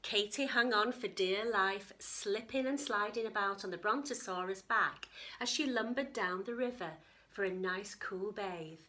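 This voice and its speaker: A woman reading a picture book to young children in an expressive storytelling style, pausing for chatty questions and asides. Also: mid-range voice. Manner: animated, addresses young listeners with questions. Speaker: teacher reading story time.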